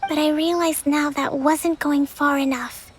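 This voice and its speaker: An actress voicing a young female divine priestess who leads an island's army, speaking in a soft, measured way in a game. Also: high-pitched, fairly even intonation, slight background noise. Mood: gentle. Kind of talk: game voice acting. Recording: game audio with faint music.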